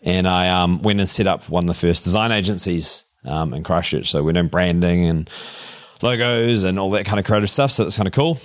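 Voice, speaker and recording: A man in his forties with a New Zealand accent, telling his story as a podcast guest in a relaxed, chatty way with plenty of fillers. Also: sits low, fairly quick, no echo, clean studio sound. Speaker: guest, tech founder. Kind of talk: casual storytelling interview.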